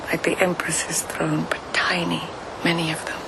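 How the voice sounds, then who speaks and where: An actress playing a dreamy, tender, observant court lady in a radio drama, musing in a hushed, breathy way. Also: fairly low pitch, flat delivery, moderate pace, noisy background. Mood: dreamy, intimate. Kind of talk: intimate radio drama acting.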